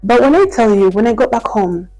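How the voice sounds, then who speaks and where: A woman's voice: fairly high pitch, lively intonation, brisk, warm tone, soft background music, rough recording. A woman in her twenties, from the Democratic Republic of Congo, talking candidly and reflectively in a personal vlog, with lots of "like" and restarts. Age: 20s. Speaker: vlogger.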